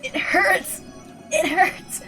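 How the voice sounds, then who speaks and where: A woman's voice: very high-pitched, very slow, bright, forceful tone, soft background music, pitched above the performer's own voice, heavily acted. A woman voicing a frightened, wounded young man in a visual novel, pleading breathlessly through tears and pain. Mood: pained, desperate. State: crying in pain. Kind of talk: dramatic visual novel character reading.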